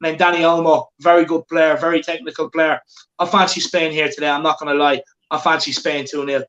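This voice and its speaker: A man's voice: fairly high pitch, level tone, fairly quick, fairly bright tone, reverberant, lo-fi sound. A man hosting a football watchalong, chatting casually and reading out viewer comments. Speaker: watchalong host.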